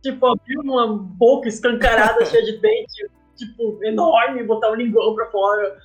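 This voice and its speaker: A woman's voice: on the high side, animated, moderate pace, soft background music, poor audio. A woman with a Brazilian accent speaking casually as a guest in a podcast interview, often checking for agreement with tag questions. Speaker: guest, comic artist and game writer.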